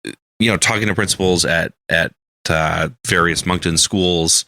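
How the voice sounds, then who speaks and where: Low, bright-voiced earnest man. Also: fairly even intonation, normal speed, gravelly, no echo. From Canada. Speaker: radio show co-host. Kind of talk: casual chat.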